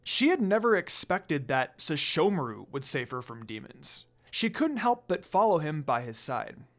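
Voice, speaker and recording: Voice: mid-range voice, big pitch swings, normal speed, a bit bright. A narrator reading a scripted fan fiction story aloud.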